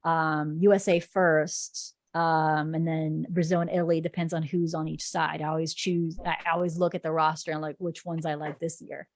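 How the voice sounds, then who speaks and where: A woman in her thirties, a native Portuguese speaker, answers questions in a loose, chatty flow with plenty of ums. Her voice sits low for a woman, and the sound is very dry and close. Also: level tone, normal speed, hardly any pauses, no echo. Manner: chatty and rambling, frequent ums. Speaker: sports reporter guest. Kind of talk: casual podcast interview.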